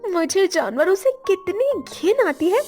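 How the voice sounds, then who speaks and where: A woman's voice: very high voice, very animated, a little slow, a little mellow, faint music behind, exaggerated voice. A woman voicing a young, squeamish daughter-in-law who grumbles and whines in a cartoon moral story. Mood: dismayed grumbling. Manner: whiny, complaining. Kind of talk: Hindi animated moral story dialogue.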